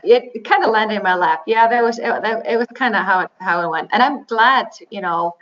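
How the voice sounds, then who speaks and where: A woman, answering interview questions in English with many pauses, fillers and restarts. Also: on the high side, fairly bright tone. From Iceland. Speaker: interview guest, biotech executive. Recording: slightly dull, faint noise.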